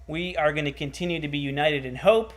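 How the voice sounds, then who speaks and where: Upbeat church host, slightly high voice. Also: male voice, fairly quick. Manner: upbeat, enthusiastic interviewer. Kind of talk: livestreamed church broadcast.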